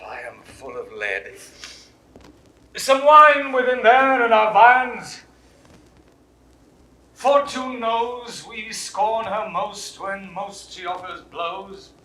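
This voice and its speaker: A fallen Roman general in a vintage Shakespeare audio drama. His bright voice moves slowly through the lines, swinging from trembling whispers to high, anguished cries. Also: lively intonation, heavily acted, poor audio. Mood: tender. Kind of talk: 1960s studio Shakespeare recording.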